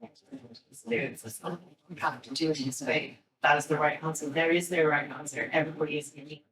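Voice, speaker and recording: A woman's voice: sits low, brisk, a bit bright. An Irish woman speaking informally and enthusiastically as a guest speaker in a discussion with an audience. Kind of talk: conversational talk and audience discussion. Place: indoor talk before an audience. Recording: echoey room, distant microphone.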